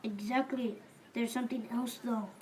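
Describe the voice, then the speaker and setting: A woman plays a courteous, helpful male merchant in an amateur biblical radio play. The voice is fairly high, and the recording carries faint noise. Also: fairly even intonation, moderate pace, darkish tone, lightly acted. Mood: earnest, informative. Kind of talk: amateur audio drama acting.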